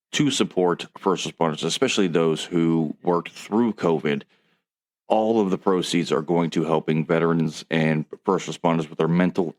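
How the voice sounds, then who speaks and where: A man hosting a casual sports podcast over a video call, reading sponsor spots and chatting with upbeat energy. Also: sits low. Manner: upbeat, reads sponsor spots then chats. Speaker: podcast host.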